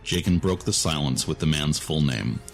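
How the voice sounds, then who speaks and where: A man's voice: extremely low pitch, normal speed, gravelly, soft background music. A man reading a scripted story as its narrator.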